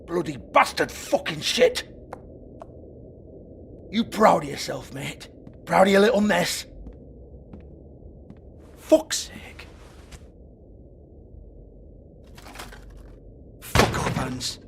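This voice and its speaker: A man's voice: high voice, big pitch swings, slow pace, gravelly, long pauses, slight background noise, heavily acted. A man playing a foul-mouthed, irritable British strip club owner in a video game, sarcastic and exasperated as he swears. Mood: furious.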